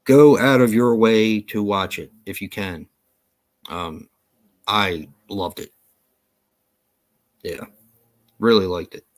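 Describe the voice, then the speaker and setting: A man's voice: lowish voice, takes it slowly, pauses often. A man co-hosting a live show from home, talking in a rambling, easygoing way with many fillers and easy laughs. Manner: laughs easily. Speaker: podcast co-host. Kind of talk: casual live-stream chat.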